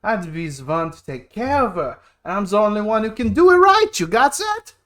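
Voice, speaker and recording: A man voicing a brash, protective, hard-drinking big brother in a game, loud and argumentative. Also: high voice, big pitch swings, fairly quick, pitched above the performer's own voice, heavily acted. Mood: bitter, insistent.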